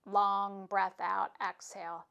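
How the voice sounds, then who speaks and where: A woman yoga instructor giving calm, soothing, unhurried cues for stretching, breathing and meditation. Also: mid-range voice, creaky, lo-fi sound, slight background noise.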